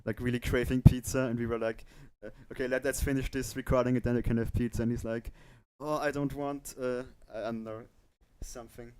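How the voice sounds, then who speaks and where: A man hosting a casual livestream panel, talking in a halting way and repeating words as he goes. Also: medium pitch, level tone, a little mellow, clean tone. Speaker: livestream host.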